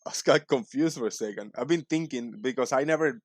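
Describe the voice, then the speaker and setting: A man in his forties hosting a casual livestream, talking in a loud, joking, rambling way. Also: medium pitch, fast, no room sound, studio quality. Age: 40s. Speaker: livestream host.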